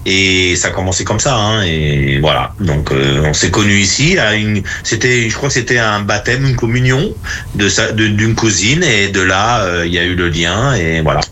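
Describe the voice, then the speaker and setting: A man in his sixties with a bright, forward voice chats as an interview guest. He tells his stories haltingly, doubling back on phrases, with faint noise behind him. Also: on the low side. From France. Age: 60s. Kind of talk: casual personal storytelling.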